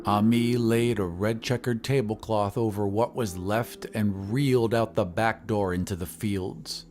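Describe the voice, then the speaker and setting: A man giving a grave, measured narration of a scripted horror story in an audio drama. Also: on the low side, fairly even intonation, a little mellow, soft background music.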